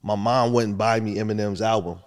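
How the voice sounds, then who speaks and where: A man's voice: lowish voice, a little fast. A young man from Buffalo, a rapper, talking casually in an interview and telling his story with easy laughs. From Buffalo, New York. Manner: relaxed, storytelling, laughs often. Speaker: rapper guest.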